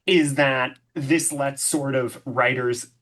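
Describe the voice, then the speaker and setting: Woman co-host with a deep voice, earnest, chatty filler words. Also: steady tone, takes it slowly. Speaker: podcast co-host. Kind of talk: casual podcast discussion.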